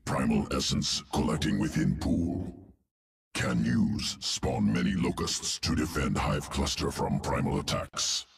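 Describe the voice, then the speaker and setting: Very deep gravelly alien scientist. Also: male voice, measured pace, distant mic, full character voice. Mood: clinical, curious. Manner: clipped, terse, article-dropping speech. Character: male alien creature scientist, cold, analytical, clinical.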